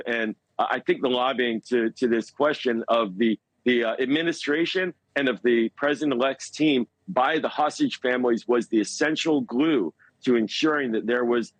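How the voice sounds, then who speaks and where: A man with a mid-pitched voice works through analysis as a television news guest. He is measured and halting, leaning on key words for emphasis. The sound is dry, with very little room in it. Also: no room sound, rough recording. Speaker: foreign policy commentator, news guest. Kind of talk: live news interview analysis.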